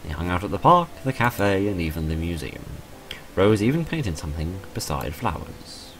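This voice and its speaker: Man as a low-voiced storybook narrator. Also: big pitch swings, normal speed, noisy background. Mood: gentle storytelling. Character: storybook narrator of a gentle, cute tale. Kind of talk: visual novel narration.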